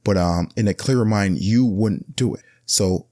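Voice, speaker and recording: A man hosting a casual solo podcast, giving blunt advice in a rambling, conversational way that often jumps up in pitch to stress a point. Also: normal speed, mellow, no echo, clean studio sound. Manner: rambling, with frequent restarts and filler words. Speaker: podcast host.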